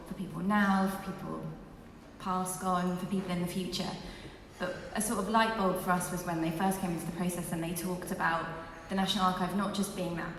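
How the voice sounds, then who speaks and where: Low-voiced woman presenting in an echoey hall. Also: far from the mic, slight background noise. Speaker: co-presenter at a launch event. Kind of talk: live presentation to an audience. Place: large museum hall.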